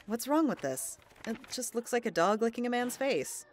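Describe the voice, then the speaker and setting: A woman's voice: on the high side, sing-song, normal speed. An American woman playing a wary, practical middle-aged wife and mother in an audio drama. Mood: concerned.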